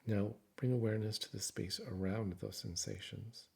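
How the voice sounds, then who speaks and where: A man narrating a guided meditation in a calm, soothing, measured way, with frequent pauses. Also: fairly low pitch, vocal fry.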